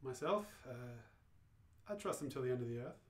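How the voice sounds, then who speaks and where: A performer playing an urgent, cryptic male alien friend in an amateur radio play, deadpan and insistent. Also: medium pitch, moderate pace, pitched below the performer's own voice, natural voice. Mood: deadpan, hurried. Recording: roomy, echoing live reading.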